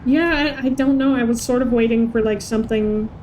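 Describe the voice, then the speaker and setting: A woman's voice: fairly high pitch, brisk, darkish tone, low-quality recording. A woman streaming a game, chatting in a casual, playful way. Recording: voice chat with background game noise.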